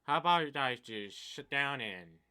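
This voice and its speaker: A deaf man in his twenties rambling through a casual complaint about slow game updates, his speech uneven in loudness. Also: mid-range voice, animated, clear, resonant tone. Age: 20s. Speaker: gaming YouTuber. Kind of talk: casual commentary vlog.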